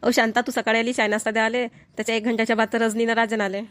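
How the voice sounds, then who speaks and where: Woman acting a quick-talking kindly old mother-in-law. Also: fairly high pitch, little pitch movement, a bit bright, natural voice. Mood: neighbourly, concerned. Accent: Varhadi Marathi. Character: kindly, grateful elderly village mother-in-law. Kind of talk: amateur cartoon comedy voice acting.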